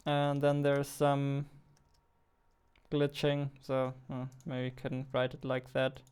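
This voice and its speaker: A young man talks through his coding session at a desk, half-distracted and muttering as he works. His voice is very smooth and clear. He keeps his pitch flat, with little lift or fall. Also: slow. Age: young adult. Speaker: indie game developer. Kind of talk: thinking aloud while coding. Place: small room at a computer desk.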